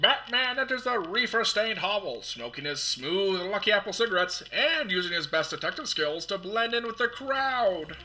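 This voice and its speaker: Man as piercing, high-pitched radio serial announcer. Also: big pitch swings, normal speed, few pauses, bright, forceful tone, exaggerated voice. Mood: dramatic. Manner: dramatic, emphatic announcing. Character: breathless, earnest male radio serial announcer. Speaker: narrator. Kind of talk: old-time radio serial narration. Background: faint music.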